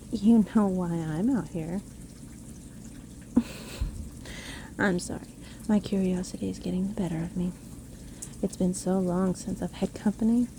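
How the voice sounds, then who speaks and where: A woman playing a seemingly kindly, sly witch who lives alone in a forest, speaking gently and unhurriedly in a fantasy audio roleplay. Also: mid-range voice, a little hiss or hum, lightly acted. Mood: curious, teasing. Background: rain storm ambience.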